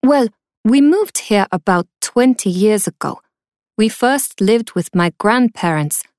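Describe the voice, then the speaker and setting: A woman's voice: mid-range voice, expressive, measured pace, darkish tone, no echo, studio quality, close to an everyday voice. A woman in her twenties from South Korea, reading a student's lines plainly in a scripted textbook dialogue. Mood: calm. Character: young woman telling her background.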